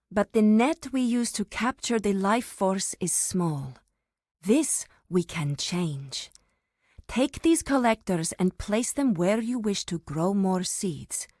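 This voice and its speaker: Solemn female grove warden, fantasy game, slow delivery, commanding, formal, dry close recording. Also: medium pitch, no echo. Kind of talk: scripted fantasy game dialogue.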